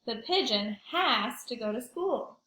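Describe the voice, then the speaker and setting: A woman's voice: a little high, lively intonation, measured pace, sharp tone, echoey room. A woman teacher reading aloud to young children, explaining simply and expressively and asking the listeners questions.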